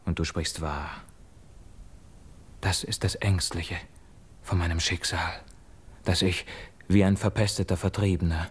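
An actor playing a young man haunted by guilt, declaiming classical verse drama in a solemn, measured way. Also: deep voice, steady tone, husky, full character voice. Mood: tormented.

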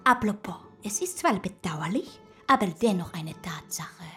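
An actor playing an oily, boastful male brothel owner with a high breathy voice, swapping r for l with affected politeness. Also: lively intonation, normal speed, pushed and bright. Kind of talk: satirical radio play acting. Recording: music behind the voice.

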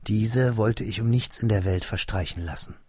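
A man narrating a literary radio drama in a measured, reflective way, as a young man recalling a friend, in a husky, creaky voice. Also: on the low side, darkish tone, no room sound. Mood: searching. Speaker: first-person narrator.

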